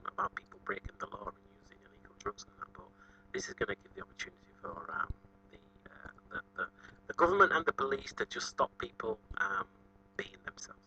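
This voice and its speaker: A man interviewing on live radio, halting and repeating his words as he frames his questions. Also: medium pitch, big pitch swings, creaky, bright, forceful tone, whispered. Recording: dull, distant lo-fi radio audio.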